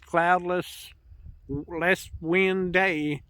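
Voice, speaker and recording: A man's voice: high-pitched, fairly even intonation, extremely slow, a bit bright, no echo. An older man, chatting casually with laid-back, folksy asides as a vlog co-host outdoors. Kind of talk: casual chat. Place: outdoors on a breezy hilltop. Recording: clear outdoor background noise.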